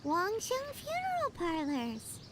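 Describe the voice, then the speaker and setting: A woman's voice: very high-pitched, wildly swooping pitch, slow, heavy background noise, heavily acted. A woman voicing a small, earnest, bookish young girl in a video game. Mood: focused. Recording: game music under the voice. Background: loud game music.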